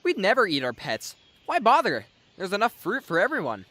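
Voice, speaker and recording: A man's voice: high voice, wildly swooping pitch, a little fast, bright, forceful tone, full character voice. A man playing a carefree young male dragon in a fantasy dub, a friendly, enthusiastic big brother. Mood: relaxed. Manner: breezy, enthusiastic. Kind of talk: dubbed fantasy drama acting.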